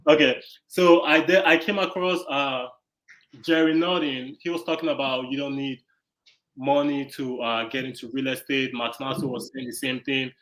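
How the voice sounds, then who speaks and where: A young man from Nigeria telling his story as an interview guest, in a halting, easygoing way with many pauses and fillers. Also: medium pitch, fairly even intonation, fairly bright tone, low-quality recording.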